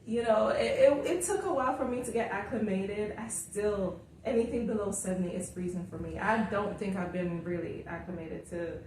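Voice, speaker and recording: A woman's voice: medium pitch, normal speed, few pauses, a little mellow. Woman in her 40s, chatty and upbeat, laughs a lot, mic kinda far, big echo. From Jamaica. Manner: upbeat, laughs often while telling her story. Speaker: guest, author coach. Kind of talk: casual interview chat. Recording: roomy echo, distant mic, faint hiss.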